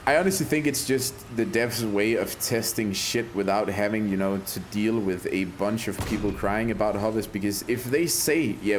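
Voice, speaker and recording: A man's voice: lowish voice, slight background noise. A man from Denmark with a Danish accent, hosting a live game stream in a brash, profane, joking way. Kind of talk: casual live-stream chat.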